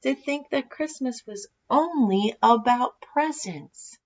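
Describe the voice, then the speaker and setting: A woman voicing an excitable, worried fox from a children's storybook, exclaiming and asking anxious questions. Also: a little high, slow, muffled recording, pitched above the performer's own voice, heavily acted. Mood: relieved.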